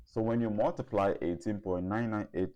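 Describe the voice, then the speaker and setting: A man giving a step-by-step lesson as a tutor, working deliberately through problems and repeating figures as he goes. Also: on the low side, fairly even intonation, dark tone, dull audio. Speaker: chemistry tutor.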